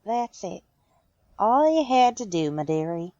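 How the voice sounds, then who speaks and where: A woman's voice: mid-range voice, very animated, measured pace, a little mellow, no echo, highs cut off. A woman voicing a caring, teasing young farm pony in a cartoon fan drama, with a folksy, coaxing drawl. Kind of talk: cartoon fan fiction voice acting.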